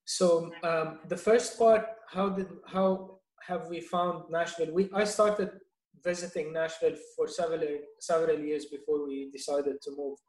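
Man, from Lebanon, middle-aged, fairly high voice, measured, filler-heavy, echoey room. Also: steady tone, darkish tone. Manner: measured, with many fillers and restarts. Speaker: guest, venture investor. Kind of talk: interview answers.

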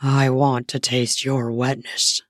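A woman voicing a confident, eager adult male lover in an erotic audio story, murmuring low and slow. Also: flat delivery, no room sound, studio quality, exaggerated voice. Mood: lustful.

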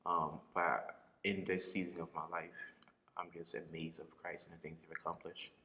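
Liberian-born man, low voice with a bright edge, relaxed interview guest. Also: moderate pace, in a large hall. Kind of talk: casual interview chat.

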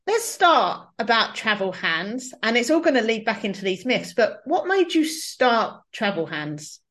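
A woman hosting a podcast, asking questions in a measured, encouraging way. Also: fairly high pitch, sing-song, fairly bright tone. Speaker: podcast host. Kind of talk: podcast interview.

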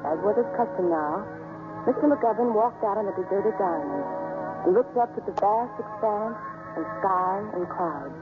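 A woman's voice: medium pitch, normal speed, close to an everyday voice. A woman playing a wry storyteller, narrating baseball games dramatically in a scripted 1950s radio style. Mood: wry, knowing. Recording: tinny 1950s radio recording with music.

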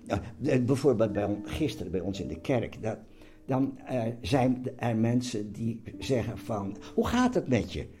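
An elderly man in his eighties, talking reflectively in an interview, halting and often repeating words as he searches for them. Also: mid-range voice, very animated, warm tone. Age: 80s. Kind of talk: personal interview, reflective talk. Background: music.

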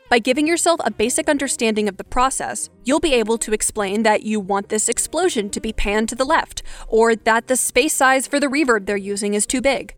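A woman hosting a podcast, talking through a scripted explainer in a direct, instructive way. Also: a little high. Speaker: podcast host.

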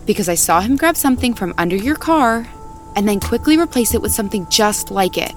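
A woman's voice: mid-range voice, lively intonation, brisk, soft background music, lightly acted. An actress playing a wary, practical woman out camping in a naturalistic audio drama, matter-of-fact and insistent. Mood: tense, insistent.

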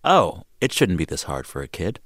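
A man's voice: sits low, big pitch swings, normal speed, fairly bright tone, no room sound, studio quality. A man hosting a casual interview who answers his guest often and asks questions conversationally. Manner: responsive, frequent backchannel, laughs. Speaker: radio interview host.

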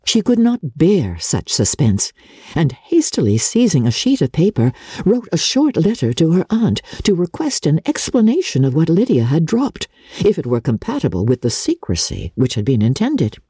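A woman narrator reads literary fiction with theatrical, measured delivery. Her voice is low and mellow with a widely moving pitch. It is recorded very dry. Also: wildly swooping pitch, husky, no echo.

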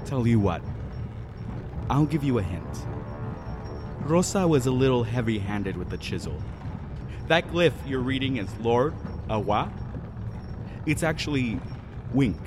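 A man's voice: mid-range voice, lively intonation, over music, close to an everyday voice. An actor playing a vengeful Mayan man in an audio drama, mocking and menacing as he toys with an intruder. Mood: teasing. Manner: taunting, deliberate, menacing.

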